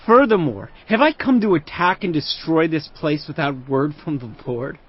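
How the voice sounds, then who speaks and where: An actor playing an arrogant Assyrian field commander who taunts a besieged city with loud, mocking declamation. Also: male voice, on the high side, animated, moderate pace. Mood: taunting. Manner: boastful, mocking, declaiming loudly. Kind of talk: dramatized audio Bible acting. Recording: muffled lo-fi audio under music. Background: cinematic music.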